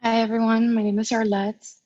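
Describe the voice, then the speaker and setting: A woman's voice: on the high side, level tone, brisk, warm tone, dry recording. A woman in her twenties from Cuba, a dental student on a panel, telling her story earnestly with frequent ums and restarts, heard over a video call. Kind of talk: panel talk, personal story. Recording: dull lo-fi dry sound.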